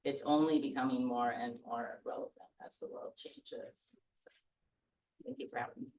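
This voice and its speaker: A woman's voice: rumbling low, steady tone, normal speed, darkish tone. A woman painter speaking as a guest in a casual public conversation, with a scratchy voice and a thoughtful, earnest, admiring manner. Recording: roomy sound from far off the mic.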